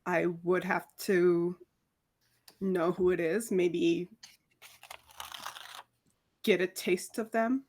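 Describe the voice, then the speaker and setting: A woman's voice: on the low side, steady tone, very slow, pauses often, darkish tone, slight background noise. A woman playing a tabletop roleplaying game, speaking casually as she improvises lines in character.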